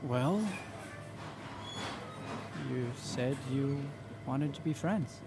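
An actor playing an awkward, wounded young man in a naturalistic audio drama, halting and hesitant between deadpan jokes. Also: medium pitch, very noisy. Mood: hurt, halting. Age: young adult. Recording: loud music behind the voice.

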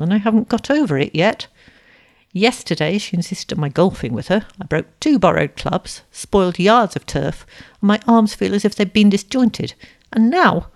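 Woman acting a blustering, grumbling old stout gentleman. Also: mid-range voice, big pitch swings, fast talker, few pauses. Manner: exclaiming, grumbling. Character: stout elderly male stockbroker, blustering and grumbling.

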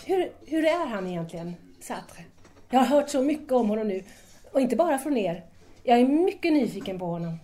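A woman's voice: on the high side, big pitch swings, mellow. A woman playing an eager, admiring young student in an audio drama, curious and deferential. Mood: trusting, curious.

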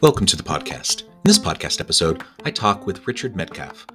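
A man with a low-pitched voice speaks as a podcast host. His delivery is conversational, as in an interview with a guest. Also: big pitch swings, a little fast, music in the background.

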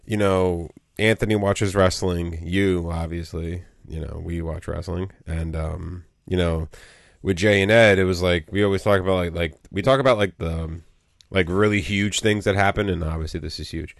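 A man's voice: low voice, steady tone, moderate pace, gravelly, hardly any pauses, dry recording. A man co-hosting a casual podcast in a small studio, sounding a little nasal and rambling with lots of fillers. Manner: rambling, full of you know and um. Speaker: podcast co-host.

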